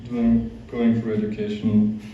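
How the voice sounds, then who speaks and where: A man's voice: fairly low pitch, completely flat delivery, unhurried, a little hiss or hum. A young man from Austria with an Austrian accent, giving an informal, hesitant talk full of fillers and restarts to a youth group. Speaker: guest speaker, monk. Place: large echoey hall. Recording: echoey, distant, dull hall recording.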